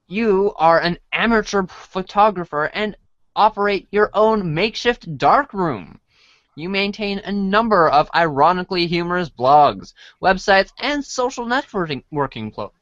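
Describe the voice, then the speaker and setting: An unhurried narrator reads a scripted comic story aloud in the manner of a read-along storybook, occasionally tripping over a line and saying sorry. The voice sits at a medium pitch. The recording is dry and slightly dull. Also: lively intonation, a bit bright, no room sound. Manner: stumbles over lines and apologizes.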